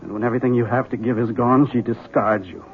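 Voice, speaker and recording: A man's voice: medium pitch, steady tone, fast, close to an everyday voice. An actor playing a bitter, brooding husband haunted by his wife in a 1970s radio drama. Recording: tinny old radio broadcast with faint hiss.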